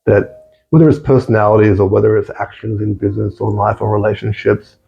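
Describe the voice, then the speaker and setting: A man's voice: on the low side, little pitch movement, brisk, vocal fry, lo-fi sound. A man with an Australian accent, a podcast guest talking thoughtfully and repeating words as he searches for phrasing. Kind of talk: reflective casual conversation.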